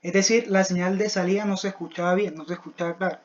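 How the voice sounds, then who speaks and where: A young adult student giving a scripted technical presentation in a halting, didactic, step-by-step manner. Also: medium pitch, fairly even intonation. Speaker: student presenting a class project. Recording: dull, lo-fi voice recording.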